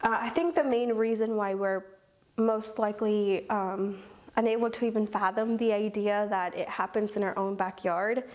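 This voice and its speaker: A young woman in her twenties answers interview questions about her work earnestly. She explains things step by step, filling pauses with ums. Her voice sits at a middle pitch. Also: steady tone. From Owensboro, Kentucky. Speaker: guest, program coordinator.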